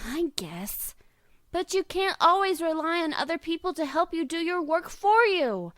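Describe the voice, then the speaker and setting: A woman's voice: very high voice, sing-song, normal speed, fairly bright tone, no echo, studio quality, full character voice. A woman voicing a bossy, hot-tempered teenage magical-girl heroine in a parody audio drama, scolding and exasperated.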